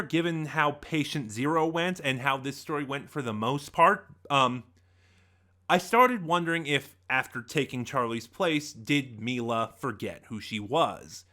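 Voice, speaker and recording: A man's voice: medium pitch, bright, forceful tone. A man giving a casual spoken review as a channel host, conversational and opinionated, thinking aloud as he goes.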